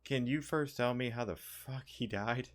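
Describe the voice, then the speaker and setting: A man's voice: mid-range voice, unhurried. A man doing casual, crude gameplay commentary with sarcastic asides. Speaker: gaming streamer.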